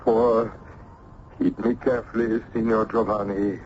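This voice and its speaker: A man's voice: on the low side, flat delivery, unhurried, close to an everyday voice. An actor playing a grave, learned man in an old-time radio drama, speaking in a solemn, emphatic, formal way. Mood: grave warning. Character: grave male learned acquaintance, urgently warning a young man. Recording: muffled, noisy vintage radio recording.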